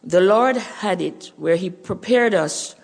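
A woman's voice: mid-range voice, lively intonation, takes it slowly, darkish tone. An older Jamaican woman with a Jamaican accent, a missionary giving an earnest church missions report and testimony.

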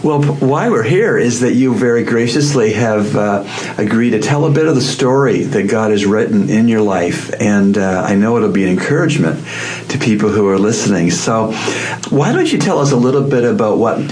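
Gentle low-voiced male radio host. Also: animated, normal speed, slight background noise. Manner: gentle, encouraging, affirming with mm-hmms. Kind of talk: interviewing a guest. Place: radio studio.

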